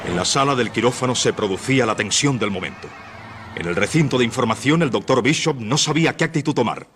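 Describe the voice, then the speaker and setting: A man's voice: mid-range voice, fast talker. A man giving scripted dramatic narration for a radio play. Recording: noisy old radio drama recording.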